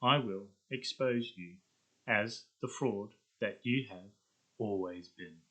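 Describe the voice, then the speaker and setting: A man voicing a threatening, shadowy arms dealer in a slow, deliberate monotone. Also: lowish voice, heavily acted, poor audio. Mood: slow, cold menace.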